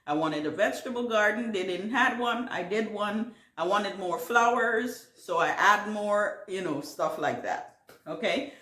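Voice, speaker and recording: A woman's voice: medium pitch, lively intonation, unhurried, a bit bright, reverberant. A middle-aged woman from Guyana with a Caribbean accent, hosting a casual question-and-answer video for her gardening channel and rambling a bit with fillers and restarts. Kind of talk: casual Q&A vlog. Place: home.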